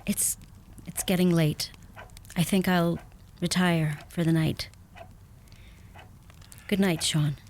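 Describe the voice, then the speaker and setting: Gentle american lady played by an actress, lowish voice, radio drama w/ faint farm noise. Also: fairly even intonation, slow, pauses often. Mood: wistful. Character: gentle American woman newly arrived in rural Ireland. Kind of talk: radio play acting. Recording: noisy radio play, sheep sounds behind.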